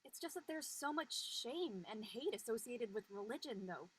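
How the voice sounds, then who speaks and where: A woman's voice: fairly high pitch, lively intonation, moderate pace, natural voice, rough recording. A woman playing a thoughtful, critical young family member in an audio play, recorded with background noise. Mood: earnest, frustrated. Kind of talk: dramatic dialogue in an audio play. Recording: clear background ambient noise.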